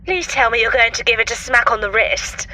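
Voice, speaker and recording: A woman's voice: on the high side, fairly quick, bright, forceful tone. A woman acting in an audio drama as a plucky, exclamatory young companion to a time traveller. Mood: plucky, uneasy.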